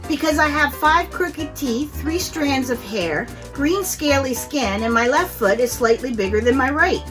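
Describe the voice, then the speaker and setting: Casting: timid, self-doubting storybook monster guy, high and hesitant, played by a female reader, over music. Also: lively intonation, measured pace. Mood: anxious, self-conscious. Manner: sheepish, hesitant. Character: shy, lonely green male monster, sheepish and insecure. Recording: music behind the voice.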